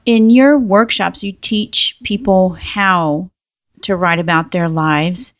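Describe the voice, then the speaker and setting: A woman's voice: medium pitch, dry recording, lo-fi sound. A woman hosting an interview, asking questions in an encouraging, affirming way. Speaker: interview host.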